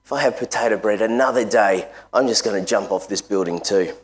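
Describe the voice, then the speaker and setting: Builder grumbling low about lunch, guy telling a joke. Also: brisk, close to an everyday voice. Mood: fed up. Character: grumbling male Irish construction worker.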